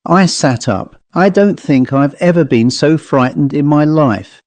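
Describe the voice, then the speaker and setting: A man's voice: a little high, animated, measured pace, a little mellow, dry recording. A man voicing a plain-spoken travelling salesman telling a grim storybook tale in a dry, colloquial, conversational manner. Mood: uneasy, confiding. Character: haunted male commercial traveller, plain-spoken teller of grim tales. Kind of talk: dramatised storybook monologue.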